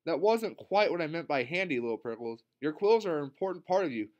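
A man voicing a caring, gently concerned mother porcupine in a children's storybook, in a motherly way. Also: on the high side, animated, brisk, dull audio, natural voice.

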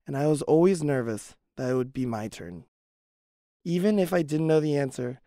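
Male narrator for personal testimony, earnest and measured, gravelly edge, close dry sound. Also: a little high, warm tone, no echo.

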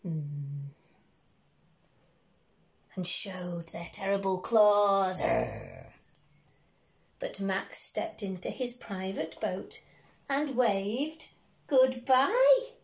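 A woman in her thirties with an English accent reads a picture book aloud to children as a teacher would, with expressive, drawn-out storytelling. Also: medium pitch. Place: small bedroom at home, indoors.

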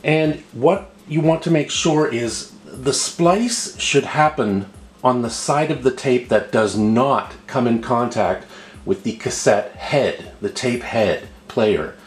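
A man's voice: mid-range voice, lively intonation, slow pace. A man narrating an unscripted step-by-step tutorial, explaining patiently and repeating himself as he goes. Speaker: host of a how-to video. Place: indoor room at a table. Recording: roomy, echoing indoor voice recording.

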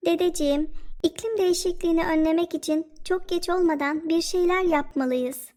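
A woman's voice: extremely high pitch, steady tone, brisk, a little mellow. A woman voicing an eager young schoolgirl granddaughter in a scripted educational cartoon, speaking earnestly like a pupil reciting facts. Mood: earnest, informative.

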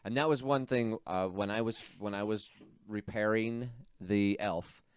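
A man in his thirties co-hosting a casual hobby podcast, easygoing and quick with agreement. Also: on the low side, clear, resonant tone, no room sound. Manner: easygoing, interjects short agreements. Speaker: podcast co-host. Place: small home workshop indoors. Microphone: studio mic on boom arm, close. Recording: dry close podcast mic.

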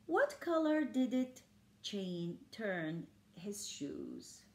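A woman's voice: mid-range voice, big pitch swings, very slow. An older woman reading a picture book aloud to young children in an exaggerated, sing-song manner with questions for them. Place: indoors in a living room. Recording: roomy home recording.

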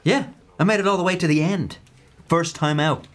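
An actor playing a troubled young shop worker in an audio drama, terse, edgy and defensive. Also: male voice, a little high, normal speed, a bit bright, close to an everyday voice. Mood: irritated, defensive. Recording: clear music under the voice.

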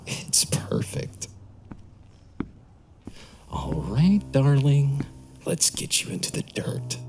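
Man as a nervous wife-killer, hushed muttering, lowish voice, swinging pitch, clear background noise. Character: nervous scheming man, wife's killer, talking to himself. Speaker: murderer hiding his wife's body. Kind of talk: audio drama acting.

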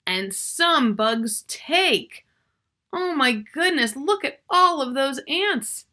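Animated, bright-voiced woman reading to children. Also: high-pitched. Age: middle-aged. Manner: expressive, drawn-out key words with questions and asides to the listener. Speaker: children's author reading her book. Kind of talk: animated picture-book read-aloud for young children. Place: small furnished room at home, indoors.

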